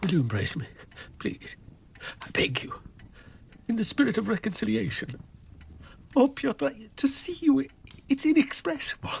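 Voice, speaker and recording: A man's voice: extremely high pitch, wildly swooping pitch, moderate pace, vocal fry, long pauses, slight background noise, exaggerated voice. A sentimental, self-pitying old father. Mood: tearful pleading. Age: older. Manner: theatrical, pleading, self-abasing. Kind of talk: radio drama acting.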